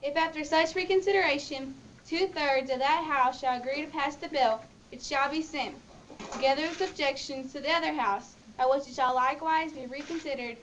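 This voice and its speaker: A high-voiced woman reads formal legal text aloud. There is a light layer of noise behind her. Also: fairly quick, fairly bright tone, low-quality recording. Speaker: participant reader. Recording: faint background noise.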